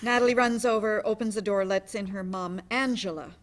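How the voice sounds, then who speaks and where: A woman's voice: mid-range voice, fairly even intonation, a little fast. A woman narrating the stage directions of a play as scripted narration.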